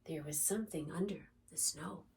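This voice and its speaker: A woman reading a picture book aloud for young children in an expressive, dramatic, deliberate storytelling manner. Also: low-pitched, vocal fry, distant mic, slight background noise. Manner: animated, dramatic, deliberate phrasing for small children.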